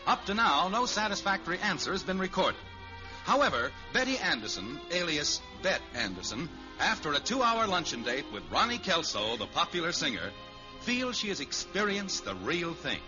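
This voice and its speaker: Animated male vintage radio narrator. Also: fairly high pitch, normal speed. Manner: mock-grand, formal. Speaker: narrator, announcer. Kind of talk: 1950s radio scripted narration. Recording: muffled old radio, music behind. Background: music.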